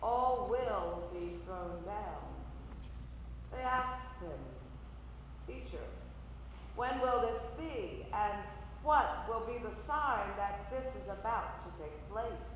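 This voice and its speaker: A woman deacon reading scripture solemnly, with measured and deliberate phrasing. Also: mid-range voice, expressive. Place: large reverberant church. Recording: echoey church hall from far off, noisy.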